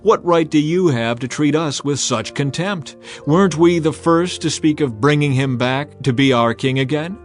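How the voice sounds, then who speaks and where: A man's voice: a little high, moderate pace, faint music behind, voice pushed up, close to an everyday voice. A man voicing quarrelling, aggrieved tribesmen with emphatic delivery in a Bible drama. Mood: indignant. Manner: argumentative, raised and emphatic. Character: aggrieved tribesmen quarrelling over their king.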